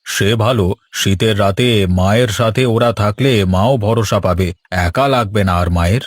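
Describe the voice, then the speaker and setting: A fairly low, dry-sounding village farmer, voiced by a man for a cartoon. He is a gentle, considerate husband, with music playing behind him. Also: normal speed, no echo, natural voice. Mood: gentle, agreeable. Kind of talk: Bengali cartoon voice acting.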